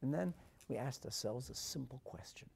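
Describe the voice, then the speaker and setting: A man with a North American accent giving a religious sermon as a rabbi, emphatic and dramatic, phrasing deliberately and repeating for effect. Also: mid-range voice, lively intonation, creaky, slightly rough. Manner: emphatic and dramatic, swinging from hushed asides to loud declarations; deliberate phrasing with rhetorical repetition. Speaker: rabbi and Torah teacher.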